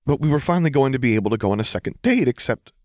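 A man voicing a distraught, lovestruck young woman in an audiobook story, hoarse and halting with emotion. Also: medium pitch, lively intonation, a little mellow, no room sound, studio quality, pitched above the performer's own voice, lightly acted. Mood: bitter, sad. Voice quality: hoarse, breathy.

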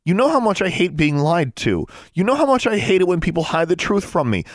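A man's voice: medium pitch, sing-song, fast, dry recording, clean studio sound, voice pushed up. A man voicing a defiant, clever thirteen-year-old girl in an audiobook, insistent and indignant. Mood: angry, hurt.

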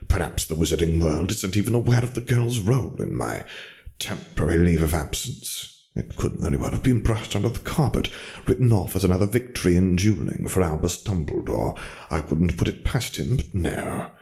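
A man voicing a bitter, arrogant dark wizard for an audiobook, soft yet commanding, ranting with scornful, precise words. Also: low voice, sing-song, normal speed, gravelly, exaggerated voice. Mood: brooding, calculating. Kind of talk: audiobook character voice.

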